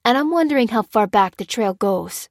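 A woman's voice: medium pitch, brisk, dry recording, close to an everyday voice. A hard-bitten male soldier, as a woman narrator puts him on, growls and hurls accusations in a raised, heated voice. The sound is dry and close, with no room around it. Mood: angry ranting. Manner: growling, ranting, hurling blame. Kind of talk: audiobook character reading.

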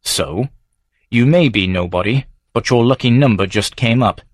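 A man reading the devil as a calm, amused stranger who speaks softly with quiet menace. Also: mid-range voice, normal speed, no room sound, studio quality, natural voice. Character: smooth suave male devil, amused and knowing.

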